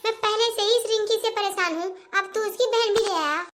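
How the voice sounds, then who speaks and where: A woman's voice: very high voice, brisk, a bit bright. A woman voicing a bossy, scheming middle-aged mother-in-law in a family drama. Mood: scolding. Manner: scolding, bossy.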